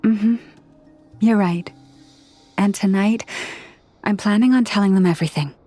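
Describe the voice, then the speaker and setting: A woman as a solemn, measured young leader. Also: mid-range voice, warm tone, no room sound, soft background music, lightly acted. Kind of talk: video game dub acting.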